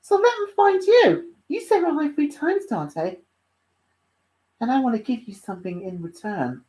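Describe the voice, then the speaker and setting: A woman's voice: sits high, expressive, measured pace, mellow, pitched above the performer's own voice, low-quality recording. A woman voicing a bold, flirty female hellhound, forward and teasing, in a story reading.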